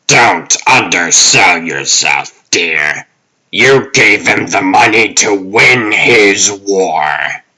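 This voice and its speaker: Man acting as smug, low-voiced Penguin crime boss. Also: expressive, drawn-out words, sharp tone, breathy edge, exaggerated voice. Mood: dry, needling. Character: calm, cocky penguin-like male crime boss, gloating. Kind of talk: comic dub acting.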